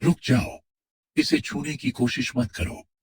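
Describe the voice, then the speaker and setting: A man voicing a huge, stern cave god in a cartoon story, with a deep, booming, commanding voice. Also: expressive, a little slow, slightly rough, full character voice. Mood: stern warning. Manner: commanding, interrogating.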